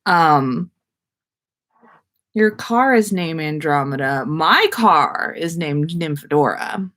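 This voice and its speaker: Slow-paced, lower-pitched woman chatting on livestream. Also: expressive, fairly bright tone. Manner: effusive, rambling, playfully self-aware. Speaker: livestream host. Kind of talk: casual, chatty livestream talk to viewers.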